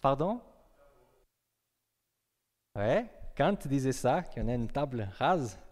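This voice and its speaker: A man's voice: mid-range voice, very animated, slow, darkish tone. A young man preaching a sermon in a conversational way, asking his congregation questions. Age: young adult. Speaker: pastor. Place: church hall. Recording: long reverb of a hall.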